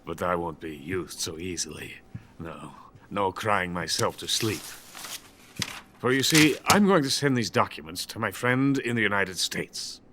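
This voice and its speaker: An actor playing a bitter, boastful older Soviet weapons scientist who is drunk and rambles theatrically in a video game. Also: male voice, deep voice, animated, unhurried, bright, forceful tone, slight background noise, full character voice. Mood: bitter resentment. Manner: grandiose, self-pitying, theatrical rambling. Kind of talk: dramatic video game voice acting.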